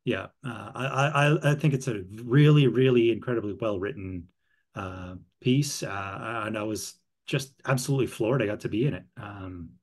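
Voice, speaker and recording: A man in his thirties, an actor from northern Canada, chatting as an interview guest over a video call from a room at home, lively, repeating words and laughing easily. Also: on the low side, normal speed. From northern Saskatchewan, Canada. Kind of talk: casual interview chat. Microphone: headset with desk mic, video call.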